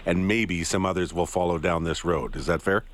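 A man's voice: low-pitched, moderate pace. A man interviewing a guest, asking long, rambling questions with hesitant fillers and restarts. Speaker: news interviewer.

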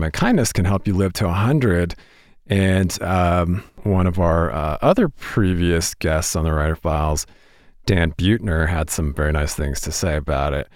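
A man with a very deep voice hosts a podcast interview, asking long, enthusiastic questions full of fillers. The recording is dry and close, with no room sound. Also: dry recording. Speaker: podcast host.

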